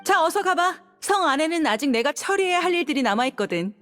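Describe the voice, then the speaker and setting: Game role: a self-assured woman ally, high-pitched, animated intonation, brisk attitude, music behind. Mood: assured, casual. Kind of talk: video game character dialogue.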